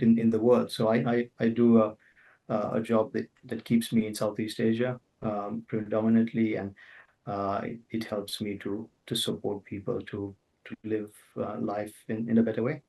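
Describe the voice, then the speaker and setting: Low gravelly-voiced middle-aged man, set back from the mic. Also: little pitch movement, moderate pace, dark tone, distant mic, low-quality recording. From Zambia. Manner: hesitant, many restarts and fillers. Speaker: interview guest, author. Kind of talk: conversational interview answers.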